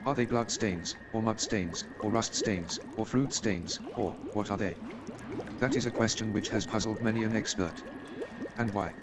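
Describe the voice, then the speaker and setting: A man's voice: fairly low pitch, lightly acted. A man playing an eager, self-assured amateur detective and chemist in a staged radio play, speaking in an emphatic, pedantic way. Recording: noisy with watery background sound.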